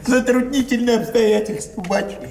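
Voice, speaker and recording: An actor playing a weary, genial old provincial landowner in an audio play, folksy and full of old-fashioned courtesy. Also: male voice, very high voice, moderate pace, vocal fry, darkish tone. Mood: genial complaint. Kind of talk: theatrical audio play acting. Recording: echoey, distant stage pickup, noisy.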